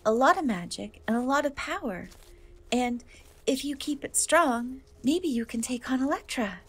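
A woman playing a gentle, wistful young woman cursed into a half-cat, in a soft, hesitant dark fantasy ASMR roleplay. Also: sits high, lively intonation, a little hiss or hum. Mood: earnest. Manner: hesitant, soft, slow, often trailing off.

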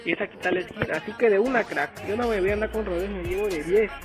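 A young man, giving casual, slangy gameplay commentary as a youtuber, excitable and repeating himself. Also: high voice, fast. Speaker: gaming youtuber. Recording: game music underneath. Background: game music.

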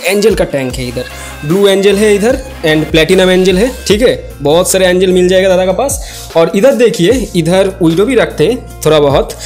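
A man's voice: sits high, a little mellow. A man hosting a casual vlog, guiding viewers around an indoor fish farm and asking questions about the fish. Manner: guides viewers, asks questions. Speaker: vlogger and channel host.

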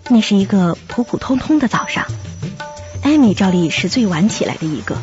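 Radio drama lead: reflective young web editor narrating uneasily actress, very varied melody, slightly dull recording. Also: female voice, medium pitch, lively intonation, brisk, darkish tone, lightly acted. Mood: reflective, uneasy. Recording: dull lo-fi audio. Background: music.

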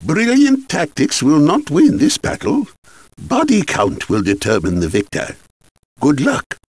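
A man's voice: on the high side, huge pitch swings, a little slow, a little mellow, slightly rough, dry recording, exaggerated voice. A man playing a war-weary ambassador of a wizard kingdom in a fantasy video game, formal, dramatic and grim. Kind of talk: scripted fantasy game briefing.

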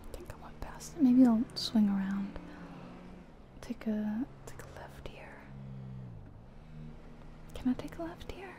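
Casting: a woman as a friendly female cab driver for ASMR roleplay, high but very mellow, breathy near-whisper, faint ambient noise behind. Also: close to an everyday voice. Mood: softly unsure. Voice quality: soft, breathy, often whispered. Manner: gentle, hesitant, murmuring to herself and passenger. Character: friendly, chatty, sleepy-soft female city taxi driver. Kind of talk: soft-spoken ASMR roleplay. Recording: soft ambient car and rain noise.

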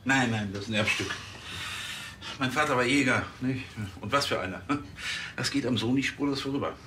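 A man's voice: medium pitch, a little fast, sharp tone, a little hiss or hum, natural voice. An actor playing a fussy hunter's son who lectures pedantically, tagging his statements with little questions, in a TV crime comedy. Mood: lecturing.

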